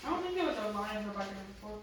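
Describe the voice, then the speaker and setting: A woman's voice: medium pitch, lively intonation, very fast. A young woman chatting casually with a group as a participant in a script reading. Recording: echoey room, far mic, chatter.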